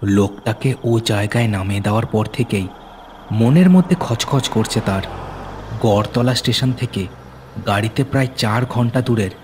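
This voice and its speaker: A man narrating a horror story dramatically, with suspenseful, deliberate phrasing. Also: mid-range voice, a little mellow, a little hiss or hum. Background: music.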